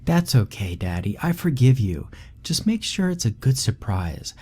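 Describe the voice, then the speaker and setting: A man reading a horror story and voicing an eerie little girl who is sweet, childish and quietly menacing. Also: medium pitch, sing-song, normal speed, breathy edge, pitched above the performer's own voice. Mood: sing-song. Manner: sing-song, childish and sweetly threatening.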